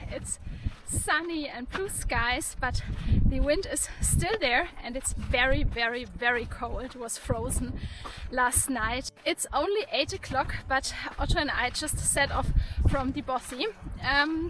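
A woman's voice: high voice, animated, a little slow, few pauses, low-quality recording. A young woman vlogging to a handheld camera on a mountain hike, chatty and laughing often. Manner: chatty, laughs often, restarts mid-sentence. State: out of breath while climbing. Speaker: hiking vlogger. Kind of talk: casual vlog to camera. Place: outdoors in a windy mountain valley. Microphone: camera held at arm's length.

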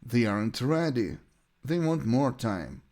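A man gives a bilingual language lesson as a teacher, with a Ukrainian accent from his native language. His voice sits at an average male pitch but rises and falls very animatedly. He sets out short sentences deliberately, as examples to repeat. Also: big pitch swings, darkish tone. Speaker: English teacher.

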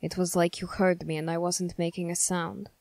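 A woman playing a stern, suspicious young male soldier in an ASMR roleplay, questioning the listener in a measured, formal way. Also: lowish voice, flat delivery, vocal fry, a little mellow. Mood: curious, suspicious. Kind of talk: ASMR character roleplay, slow scripted monologue.

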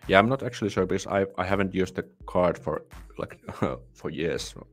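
A young man from Finland with a Finnish accent, hosting a casual live Q&A and sounding tired, with a relaxed, halting way of talking full of restarts. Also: low voice, faint music behind. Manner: relaxed, halting, with many restarts and soft laughs. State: tired, yawning. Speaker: livestream host. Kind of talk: casual live Q&A, reading viewer comments. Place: small home room with patterned curtain. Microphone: studio microphone on boom arm, headphones on.

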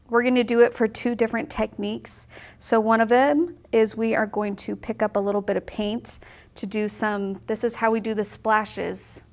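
A woman's voice: medium pitch, gravelly. Brief: woman in her 30s, cheerful, talkative painting teacher, at a table in an indoor studio. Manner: upbeat, chatty, explains step by step. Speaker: watercolor instructor. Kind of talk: casual on-camera painting tutorial.

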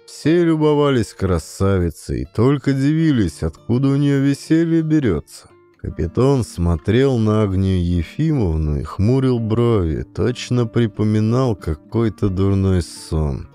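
A man reads classic fiction as an expressive audiobook narrator. His voice is deep and softly dark, with dramatic phrasing over faint music. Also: measured pace.

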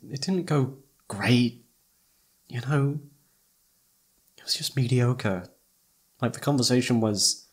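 A man acting as an informal, emotive young best friend who is secretly in love, in a one-sided roleplay audio drama. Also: medium pitch. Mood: sheepish, deflated. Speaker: voice actor.

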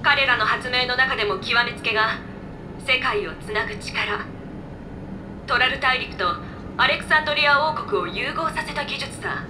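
A woman's voice: high-pitched, moderate pace, background noise, close to an everyday voice. A woman voicing an easygoing, knowledgeable floating robot in a video game, explaining things casually. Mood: matter-of-fact, breezy. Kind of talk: video game voice acting, exposition.

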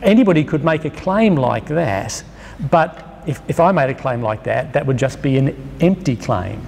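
A middle-aged man with an Australian accent, preaching a sermon at a lectern microphone, emphatic and slowing down on key phrases. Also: medium pitch, lively intonation, creaky, darkish tone. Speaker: pastor. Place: church hall with a lectern. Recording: long reverb of a church hall.

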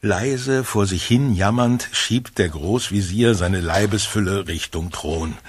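A man's voice: on the low side, a little hiss or hum. A man narrating a scripted children's radio play as storyteller.

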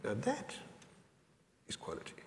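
A man's voice: measured pace, slight background noise. A man speaking as a panelist at a live conference discussion, earnest and emphatic, often repeating himself. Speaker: panelist, managing director. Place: large conference hall stage. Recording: hall with long reverb.

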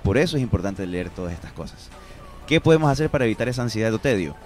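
A man's voice: on the low side, animated, fast talker, a little mellow, soft background music. A man in his thirties explaining things with examples as an expert guest in a radio studio interview. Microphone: studio broadcast microphone.

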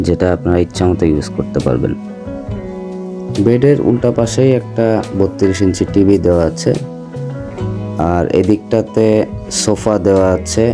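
Low-voiced Bangladeshi man narrating over music. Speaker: travel vlogger. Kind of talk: hotel review narration.

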